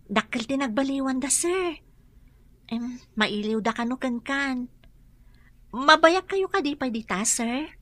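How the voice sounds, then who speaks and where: A woman's voice: high voice, expressive, a little slow, long pauses, fairly bright tone, no room sound, exaggerated voice. A woman playing a young, polite and cheerful household helper in a radio drama, with a Filipino Ilocano accent. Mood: bright, shy. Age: young adult.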